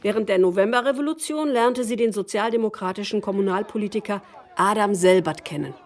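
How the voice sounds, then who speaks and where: A woman's voice: mid-range voice, a little fast. Factual woman documentary narrator.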